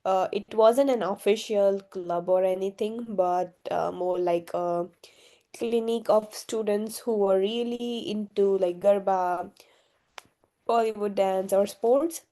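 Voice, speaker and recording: A woman's voice: mid-range voice, fairly even intonation, takes it slowly, dark tone. A young woman from Gujarat, India, a native Gujarati speaker and university student, answering interview questions casually with many hesitant fillers. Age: young adult.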